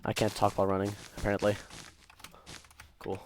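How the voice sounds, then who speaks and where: A man's voice: lowish voice, completely flat delivery, brisk. A young man casually telling stories while gaming, rambling and interrupting himself in a distracted way. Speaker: gaming content creator. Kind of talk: casual storytelling while playing a game. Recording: faint keyboard typing and clicking noise. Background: computer keyboard typing and clicks.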